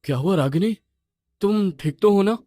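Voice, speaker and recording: A man voicing an anxious young boyfriend in an animated horror story, with an urgent, flustered delivery. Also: sits high, normal speed, no room sound, pitched above the performer's own voice, close to an everyday voice. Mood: concerned.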